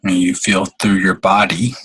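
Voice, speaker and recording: A man leading a guided meditation in a halting, unhurried way, with long pauses between phrases. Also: low-pitched, little pitch movement, sharp tone, husky.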